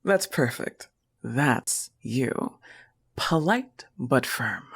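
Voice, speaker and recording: A woman's voice: extremely low pitch, animated, extremely slow, breathy edge, full character voice. A woman voicing a protective, affectionate young goth wolf-girl girlfriend in an intimate audio roleplay, coaxing and reassuring the listener with a firm manner. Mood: encouraging.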